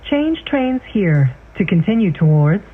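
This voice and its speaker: A woman reading scripted transit announcements in a measured, formal, clearly enunciated way. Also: medium pitch, sing-song. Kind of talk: scripted train station announcements. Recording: tinny band-limited train speaker, loud vehicle noise.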